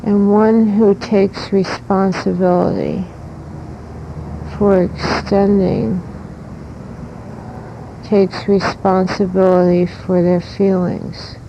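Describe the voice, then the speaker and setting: A woman speaks as a spiritual teacher in an extremely slow, deliberate way, breaking her thoughts into short phrases. Her delivery stays very even, with a gravelly creak in the voice. It comes from a muffled old cassette with noise and rumble. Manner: deliberate, instructive, halting phrases.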